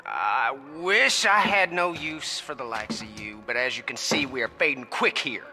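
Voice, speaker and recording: A man's voice: mid-range voice, expressive, normal speed, sharp tone, soft background music. An actor playing a weary, stern male leader of a wasteland stronghold, bargaining for help. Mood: grudging.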